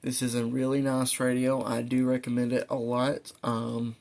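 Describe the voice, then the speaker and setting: Male vlogger, creaky mid-pitched voice, informal and halting, talking through features one step at a time. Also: monotone, darkish tone. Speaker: vlogger reviewing a weather radio. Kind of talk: casual product demonstration, unscripted. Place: indoors at a desk.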